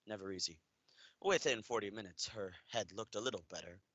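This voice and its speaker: A young man plays an earnest storyteller narrating a radio drama. His voice is fairly low but bright and forceful. The sound is very dry. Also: a little fast, no echo, highs cut off. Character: earnest storyteller narrating a tender Christmas tale.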